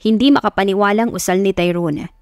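A woman's voice: medium pitch, a little fast, darkish tone, clear, resonant tone, studio quality. A woman narrator reading a romance story in a dramatic scripted style. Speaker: audiobook narrator. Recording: roomy echo, music bed behind.

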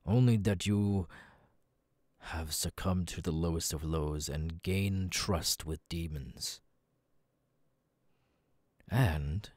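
A deep, husky demon lord, acted by a man, speaks slowly and closely in an ASMR roleplay. He is cold, menacing and commanding, often sinking into breathy murmurs as he looks down on his listener. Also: a little mellow, no echo, heavily acted. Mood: imperious, threatening. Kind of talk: ASMR audio roleplay monologue.

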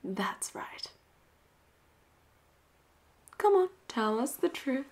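A woman acting a flirty, dominant and cocky female pirate captain in a roleplay audio drama, teasing and breathy, often dropping toward a whisper. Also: high-pitched, very animated, a little slow, full character voice. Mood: suspicious, commanding. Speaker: voice actor.

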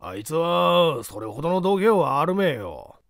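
A rough country construction laborer in an audiobook drama, played by a man. His talk is blunt and colloquial, pitched fairly high for a man, with big swings up and down. The sound is close and dry. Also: big pitch swings, moderate pace, a bit bright, no room sound, full character voice. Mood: musing. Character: rough rustic construction laborers, shocked and gossiping. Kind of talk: dramatic audiobook character acting.

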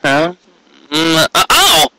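Bashful stallion baker, eager and halting, bright voice, storybook romance, read by a man. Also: a little high, sing-song, pitched above the performer's own voice, exaggerated voice, low-quality recording. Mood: flustered. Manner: halting, flustered. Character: bashful, eager male cartoon pony baker husband.

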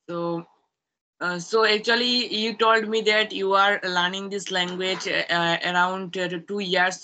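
A woman interviewing a guest, speaking hesitantly with many pauses and fillers. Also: lowish voice, bright, forceful tone. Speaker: interviewer, channel host. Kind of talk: casual interview.

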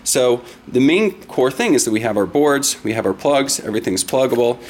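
A man's voice: mid-range voice, expressive, normal speed. Man explaining a product, step by step. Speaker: product demonstrator. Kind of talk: semi-scripted product demonstration.

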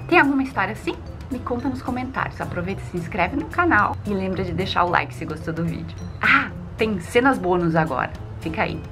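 A woman's voice: medium pitch, a little fast, music in the background. A woman in her forties with a southern Brazilian accent, talking expressively to the camera as a channel host, recorded outdoors in a garden. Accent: southern Brazilian Portuguese. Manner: chatty, expressive. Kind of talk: casual vlog narration.

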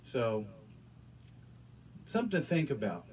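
A middle-aged male pastor leads prayer in an earnest, halting cadence, doubling back on his words. His voice sits in an ordinary middle pitch and is picked up a little off the mic. A faint hum of the small church room hangs behind him. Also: wildly swooping pitch, darkish tone, distant mic. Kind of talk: sermon and prayer. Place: small church stage with curtains. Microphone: handheld microphone.

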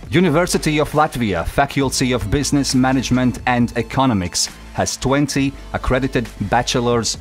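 Male narrator for a university ad, measured formal read over soft music. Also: mid-range voice. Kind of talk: promotional voice-over.

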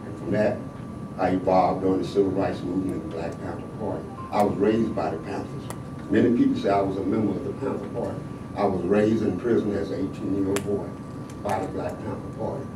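A man's voice: sits low, steady tone, brisk, recorded from a distance, background noise. Older man making an impassioned speech in a hall. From American South. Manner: rising to loud emphatic exhortation. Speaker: panel speaker. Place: large hall in a state capitol. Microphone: podium microphone. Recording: echoey hall, heard from afar.

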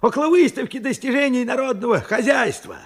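An actor in a Soviet radio play playing an astonished old war veteran, speaking haltingly and urgently in an aged voice. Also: male voice, very high-pitched, expressive. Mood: hesitant. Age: older. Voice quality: husky, old-sounding.

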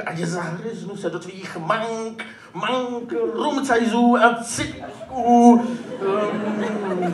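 A man performing slam poetry live in a theatrical, colloquial style with dramatic swings. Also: high-pitched. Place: live poetry slam event before an audience. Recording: roomy, noisy, distant live stage recording.

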